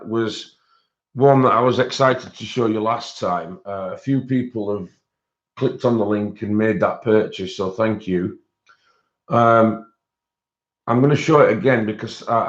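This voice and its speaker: A man with a British accent and a croaky voice, hosting a casual livestream and chatting with viewers, rambling with many fillers. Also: lowish voice. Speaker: livestream host. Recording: dull lo-fi stream audio.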